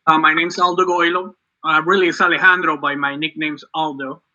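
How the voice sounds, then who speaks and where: A man in his fifties from Venezuela speaks on a video call in a relaxed, rambling way. His voice is very bright and slightly high. The call audio is dull and lo-fi. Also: fairly quick.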